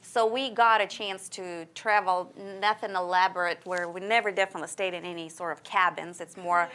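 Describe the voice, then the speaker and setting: A woman's voice: fairly low pitch, fairly bright tone, clear, resonant tone. Woman with a slight Russian-Texan accent. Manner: earnest, thoughtful, many fillers. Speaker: interview guest. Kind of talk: casual interview answers.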